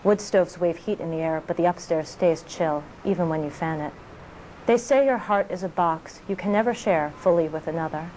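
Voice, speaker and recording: A woman poet reading her work aloud in a measured delivery of short, clipped phrases. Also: fairly low pitch, dark tone, a little hiss or hum, rough recording. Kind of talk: poetry reading.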